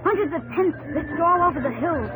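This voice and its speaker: Brief: earnest young frontier lad narrating his tale, high light voice, music playing under him. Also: animated, brisk, a crowd in the background, poor audio. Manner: earnest storytelling. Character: young man recalling the gold rush, earnest. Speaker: narrator of the story. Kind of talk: 1950s radio drama narration.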